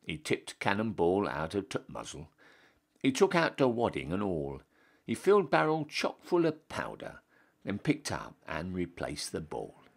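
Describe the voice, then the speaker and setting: A man's voice: low voice, wildly swooping pitch, a little slow, vocal fry. A man with a Northern English accent giving a theatrical, storytelling recitation of a comic dialect monologue. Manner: dramatic, storytelling delivery. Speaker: reciter, town crier.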